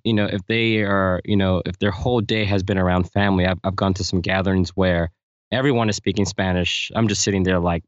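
Low-voiced man musing on a podcast. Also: no room sound. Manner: reflective, explains with anecdotes, many fillers. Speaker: podcast co-host. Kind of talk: casual panel chat. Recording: dry, dull lo-fi audio.

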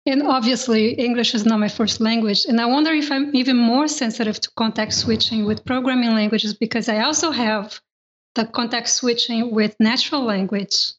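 A woman's voice: on the high side, normal speed. Woman whose first language is Portuguese. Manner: earnest, emphatic, tells personal preferences. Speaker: podcast co-host. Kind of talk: casual panel chat. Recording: dull lo-fi remote audio.

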